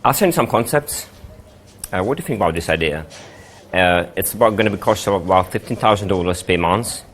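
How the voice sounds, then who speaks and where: Low-voiced earnest marketing man. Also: lively intonation, a little fast, fairly bright tone, slight background noise. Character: earnest male marketing employee pitching a proposal.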